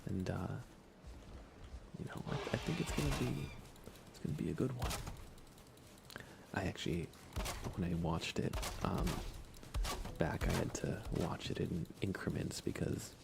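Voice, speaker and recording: A man streaming ASMR in a soft, often whispery voice, chatting in an unhurried, low-key way with pauses. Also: gravelly, heavy background noise. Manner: hushed, unhurried, relaxed delivery with pauses. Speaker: ASMR livestreamer.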